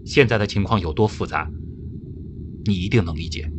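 Man playing a low-voiced astronaut amid noise. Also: moderate pace, close to an everyday voice. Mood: grave. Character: grave astronaut uncle sending a voice message.

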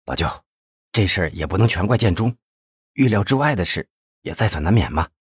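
A man's voice: lowish voice, very animated, brisk, breathy edge, no room sound, clean studio sound, heavily acted. A man voicing a scheming younger man, nervous yet ruthless, with a whiny, pleading manner.

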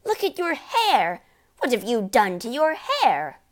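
A woman's voice: medium pitch, sing-song, measured pace. A woman voicing a scornful, scolding storybook mother, exasperated and apt to shriek at her husband.

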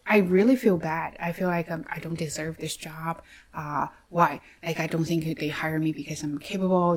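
Soft-spoken woman with a Chinese accent. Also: fairly low pitch. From China. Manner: soft-spoken, hesitant, many fillers and restarts. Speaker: English teacher. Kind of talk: reflective personal monologue.

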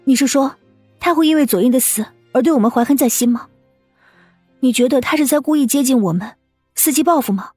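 Woman playing an anxious wife, husky and animated. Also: fairly high pitch, a little fast, no room sound, faint music behind, voice pushed up. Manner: questioning, emotionally urgent. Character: anxious, soft-hearted wife and mother pleading with husband. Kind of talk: audiobook character acting.

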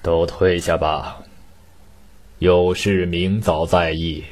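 A man playing a slow, low, solemn emperor. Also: little pitch movement, darkish tone. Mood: weary authority. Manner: solemn, imperious. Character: weary, stern middle-aged emperor and father. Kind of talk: Chinese costume radio drama. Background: background music.

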